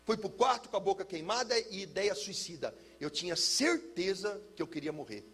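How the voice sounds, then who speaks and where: A Brazilian man speaking as a pastor, telling a personal testimony in an emphatic, theatrical way with deliberate repetition. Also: high-pitched, lively intonation, fairly bright tone, slightly rough. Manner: emphatic, theatrical, uses pauses and repetition for effect. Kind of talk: personal testimony, dramatic storytelling to listeners.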